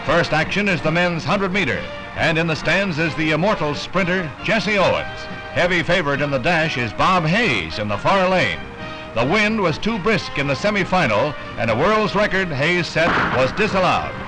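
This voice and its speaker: A man's voice: a little high, big pitch swings, normal speed, rough recording. An American man reads a scripted 1960s newsreel narration in a punchy, dramatic announcer delivery. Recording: 1960s newsreel soundtrack with loud music. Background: loud music.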